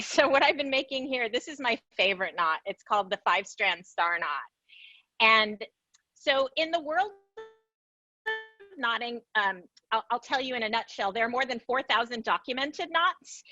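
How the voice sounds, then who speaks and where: Woman, bright tense voice, enthusiastic, laughs often, dry dull call audio. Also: fairly high pitch, no room sound. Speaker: knot artist guest. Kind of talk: casual explanatory talk.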